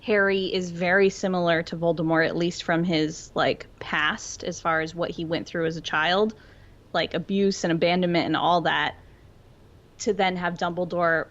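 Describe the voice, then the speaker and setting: A woman's voice: fairly low pitch, steady tone, moderate pace. A woman co-hosting a podcast, explaining her thoughts in a casual, reflective way on slightly lo-fi audio. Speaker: podcast co-host. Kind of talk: casual pop-culture discussion.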